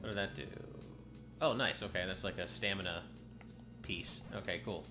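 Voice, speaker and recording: A man's voice: deep voice, moderate pace. A man streaming a video game, chatting casually in a slightly nasal voice and often breaking off mid-sentence as he plays. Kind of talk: casual live-stream gameplay commentary. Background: game music.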